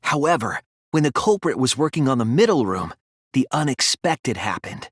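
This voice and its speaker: A man voicing an earnest young male detective in a video game, thoughtful and anguished but resolute, with deliberate, analytical phrasing. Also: medium pitch, big pitch swings, husky, no room sound, studio quality. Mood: analytical, serious. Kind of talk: dramatic video game voice acting, deductive explanation.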